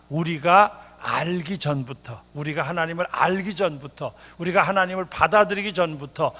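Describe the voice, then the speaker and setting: An older retired pastor, born in North Korea, preaching a revival sermon in a church with repeated rhetorical questions. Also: male voice, sits high, a bit bright. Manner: sings a hymn, then preaches with repetitive rhetorical questions. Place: church sanctuary. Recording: long church reverb.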